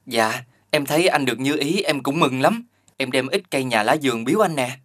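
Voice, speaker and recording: An actor playing a humble, honest young younger brother in an animated fairy tale, speaking politely and earnestly. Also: male voice, medium pitch, a little fast, close to an everyday voice. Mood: polite, modest.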